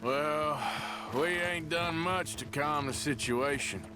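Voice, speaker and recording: Game outlaw cowboy: gruff, slow-talking drawl, weary and sick, heavy score behind. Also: male voice, on the high side, music in the background. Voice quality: gruff, plain-spoken drawl. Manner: slow and reflective. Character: weary, gravely ill male outlaw cowboy. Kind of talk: video game cutscene dialogue.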